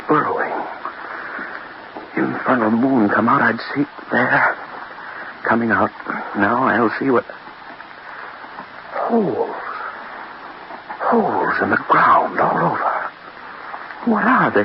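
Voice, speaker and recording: A noisy, muffled vintage radio horror recording. a domineering middle-aged husband, scornful and highly dramatic. He delivers his words with theatrical bite at an ordinary male pitch. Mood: tense, searching. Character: scornful, domineering husband unravelling into horrified anguish. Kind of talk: 1930s-40s radio horror acting.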